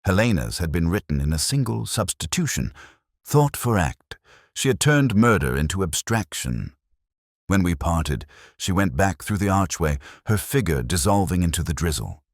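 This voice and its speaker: A man playing a melancholy, reflective police detective, narrating a literary mystery in the first person with a measured, brooding delivery. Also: deep voice, sing-song, dry recording, studio quality, full character voice. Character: melancholy, reflective male police detective telling his story.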